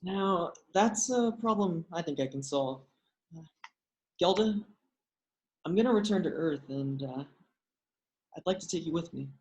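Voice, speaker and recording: A man's voice: a little high, animated, unhurried, pauses often, a little mellow. An upbeat, slightly awkward male team leader, heard in a roomy, echoing space. A man reads the part from a comedy script. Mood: practical. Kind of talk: table read of a comedy script. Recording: roomy, echoey sound.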